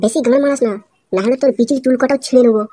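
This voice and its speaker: A man voicing a brash, quarrelsome cartoon buddy in slangy speech, with a pitched-up effect. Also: very fast, very noisy. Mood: angry. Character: foul-mouthed, quarrelsome young male cartoon buddy. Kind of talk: comedy cartoon dialogue. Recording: music bed under the dialogue. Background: music.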